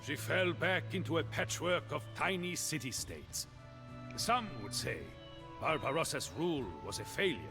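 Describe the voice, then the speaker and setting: A man acting as a dramatic storyteller, narrating medieval history for a game's storybook scenes with grave, theatrical, deliberate phrasing. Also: a little high, expressive, vocal fry. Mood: solemn, stirring. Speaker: campaign narrator. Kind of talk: scripted storybook narration for a historical strategy game. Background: music.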